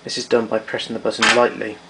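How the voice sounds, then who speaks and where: Low, monotone man with a gravelly edge. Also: fast, very noisy. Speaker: demonstrator of vintage tape recorder. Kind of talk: unscripted technical explanation.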